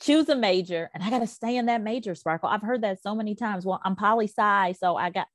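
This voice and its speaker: A middle-aged woman, a career and life coach, talking as a podcast guest in an emphatic, animated way. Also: mid-range voice, brisk. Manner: emphatic, animated, quotes people in voices. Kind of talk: casual podcast conversation.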